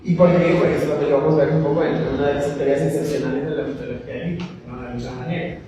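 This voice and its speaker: A man with a dark, mellow voice opens a gallery talk, hesitant and full of fillers. He sounds far from the mic in a long, echoey hall with faint noise behind. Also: on the high side, fairly even intonation, rapid-fire. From migrant living in Madrid. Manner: rambling, many fillers, asks the audience to join in. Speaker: curator and moderator. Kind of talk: opening a panel talk.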